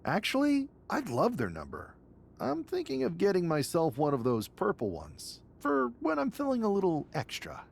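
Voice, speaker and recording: A man's voice: high voice, huge pitch swings, normal speed, vocal fry, slight background noise, heavily acted. A man playing an upbeat, bantering wrestler who recruits allies in a video game cutscene. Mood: playful.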